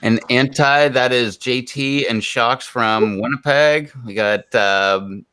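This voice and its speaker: A man's voice: mid-range voice, slow pace, pushed and bright, clear, resonant tone. A man in his forties chatting casually on a group stream, rambling and laid-back with plenty of fillers. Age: 40s.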